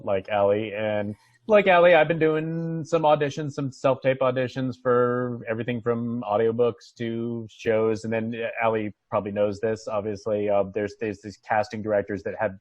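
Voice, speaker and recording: Dull, slightly lo-fi and dry audio carries a man's mid-pitched voice. He is an actor chatting casually on a remote call. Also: steady tone, normal speed, dry recording. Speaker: actor on a virtual table read. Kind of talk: casual chat.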